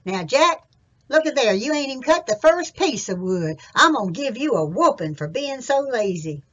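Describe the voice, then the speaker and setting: A woman voicing a stern rural father in a folk tale, scolding loudly. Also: high-pitched, very animated, normal speed, lo-fi sound, pitched above the performer's own voice, heavily acted. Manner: loud scolding. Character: stern country father scolding his son.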